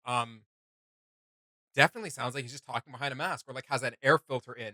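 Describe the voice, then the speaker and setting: A man's voice: mid-range voice, quick pace, pushed and bright, dry recording, studio quality. A man hosting a reaction video, chatting casually with an enthusiastic, rambling, joking manner.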